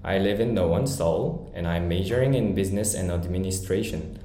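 A man's voice: sits low, moderate pace, warm tone, a little hiss or hum. Young man, echoey room. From South Korea. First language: Korean. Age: 20s. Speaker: podcast host and student. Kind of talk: scripted podcast intro.

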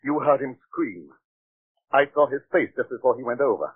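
A man's voice: medium pitch, animated, moderate pace, natural voice. An actor playing a stern, formal older clergyman in an old radio drama, on a tinny recording. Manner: formal, grave and commanding. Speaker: father of the heroine. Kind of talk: 1940s radio acting.

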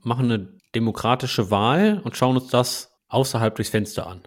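A man with a mid-range voice co-hosts a casual tech podcast. He talks in a jokey, teasing manner and tells things like animated little stories. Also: mid-range voice, moderate pace. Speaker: podcast co-host, engineering manager.

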